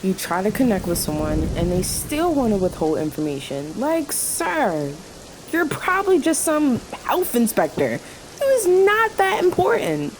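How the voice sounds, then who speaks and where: A woman's voice: a little high, big pitch swings, measured pace, background noise. A woman playing a weary, sarcastic diner waitress in an audio drama, with a dry delivery. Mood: irritated.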